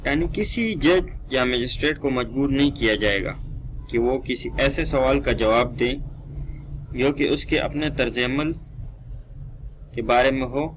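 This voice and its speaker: A man giving a measured, deliberate law lesson, reading formal text aloud and explaining it. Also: medium pitch, slight background noise, poor audio. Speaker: law lecturer. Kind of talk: reading statute in English and explaining it in Urdu.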